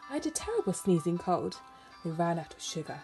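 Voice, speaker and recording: Casting: woman as a self-justifying storybook wolf, British accent, low-pitched and mellow, conversational and wronged, with music behind. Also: big pitch swings, fairly quick. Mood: confiding. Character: wolf narrator protesting his innocence, chatty and aggrieved. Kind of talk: children's storybook read-aloud.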